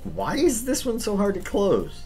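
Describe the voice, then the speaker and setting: A man's voice: high voice, huge pitch swings, measured pace, over music, pitched above the performer's own voice, heavily acted. A man voicing an exasperated, easily flustered teenage boy who scolds and stammers in a visual novel. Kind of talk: visual novel read-aloud.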